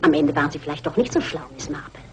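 A scheming killer in a radio crime drama. She speaks with open contempt and gloating menace, her pitch swinging widely from phrase to phrase. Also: expressive, very fast, darkish tone. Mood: gloating, menacing. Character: scheming, scornful female killer posing as harmless companion.

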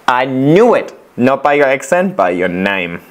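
A man casually explaining plant-care tips in a chatty, relaxed way, reacting to viewers' videos. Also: mid-range voice, measured pace, a little hiss or hum. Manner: relaxed, chatty, giving practical tips with fillers.

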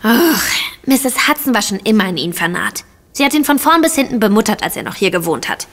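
A woman's voice: mid-range voice, big pitch swings, fairly quick, a bit bright. An actress playing a bitter, outspoken woman of a household in a radio play. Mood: indignant. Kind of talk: German radio play acting.

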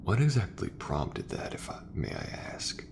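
A man playing an easygoing, folksy sci-fi mechanic in a soft, breathy, reassuring ASMR roleplay voice. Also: low voice, wildly swooping pitch, background noise, heavily acted. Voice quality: gravelly, breathy, half-whispered. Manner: slow, unhurried, reassuring, colloquial. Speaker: voice actor.

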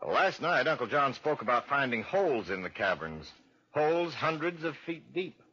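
Ingratiating radio villain, calculating young relative, very husky, forceful, dull vintage recording. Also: male voice, medium pitch, big pitch swings, normal speed, exaggerated voice. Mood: scheming. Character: scheming nephew, smooth-talking, falsely polite, murderous. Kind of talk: 1940s radio acting.

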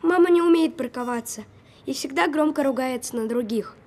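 A woman as a high-voiced sarcastic sick boy. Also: fairly quick, a little mellow, natural voice. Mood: sarcastic, weary. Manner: dry, know-it-all. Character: sick twelve-year-old boy, sceptical, sarcastic, precocious. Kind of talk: audio drama acting.

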